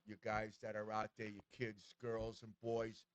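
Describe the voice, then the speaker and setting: A man's voice: fairly low pitch, monotone, measured pace, no room sound. A man in his seventies hosting an interview, speaking earnestly and encouragingly, often repeating himself for emphasis. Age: 70s. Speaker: show host. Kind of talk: casual interview chat. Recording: dull, muffled audio.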